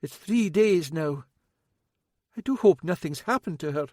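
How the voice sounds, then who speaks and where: A man's voice: on the high side, animated, unhurried, mellow, dry recording, studio quality, pitched above the performer's own voice. A man voicing a timid older Scottish woman, a fretful cook, with a nervous, fussy manner and a Scottish accent. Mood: worried.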